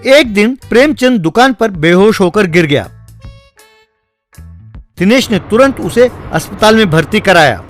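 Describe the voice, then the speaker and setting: A man's voice: high voice, expressive, measured pace, pauses often. A man narrating a scripted story over background music. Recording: music behind the voice.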